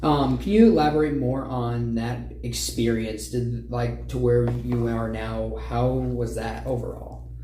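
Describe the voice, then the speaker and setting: A man's voice: mid-range voice, steady tone, unhurried, warm tone. Man, podcast interviewer, conversational, roomy echo, slight background noise.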